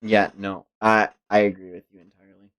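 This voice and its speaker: A man's voice: lowish voice, level tone, fairly quick, a bit bright, smooth. A man hosting a live read-along, reacting casually and expressively, with exclamations and some swearing. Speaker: livestream host reading manga aloud.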